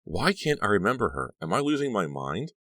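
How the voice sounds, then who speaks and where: A man's voice: fairly low pitch, very animated, fairly quick, a bit bright, dry recording, studio quality, pitched above the performer's own voice. Storybook role: uneasy, puzzled teenage girl, done by a male reader; polite but anxious, varied intonation. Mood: bewildered, anxious. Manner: hesitant replies and anxious questioning. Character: uneasy, bewildered teenage girl, politely hiding her doubts.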